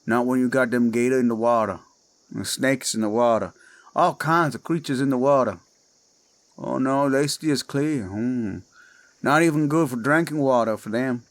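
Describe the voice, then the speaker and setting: A man with a Cajun accent playing a menacing male werewolf who poses as a friendly swamp guide, talking in a slow, coaxing drawl in a horror audio drama. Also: medium pitch, close to an everyday voice.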